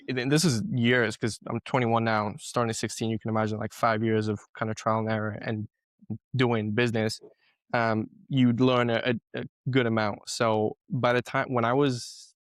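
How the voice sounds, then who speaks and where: A young man in his twenties with a South African accent, talking easily as a podcast guest. His voice is smooth and resonant but stays quite level in pitch, and the sound is dry and close. He rambles in a loose, chatty way, restarting sentences often. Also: dry recording. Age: 20s. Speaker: guest entrepreneur. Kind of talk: casual podcast interview.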